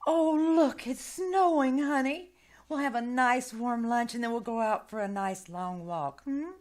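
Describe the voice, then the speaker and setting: Woman as motherly radio-play nanny: highish voice, kindly, fretful, coaxing. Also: measured pace, a little mellow, natural voice. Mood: forced cheer. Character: kindly, fretful nanny soothing a little girl. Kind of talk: old-time radio drama acting, live on stage.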